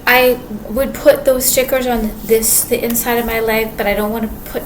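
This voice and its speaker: A quiet-voiced woman talks to camera in a loose, rambling way, often stopping and restarting her sentences. Her voice sits a little high. Steady room ambience hums clearly behind her. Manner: rambling, halting, many restarts and fillers. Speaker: vlogger. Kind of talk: casual monologue to camera. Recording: clear background ambience noise.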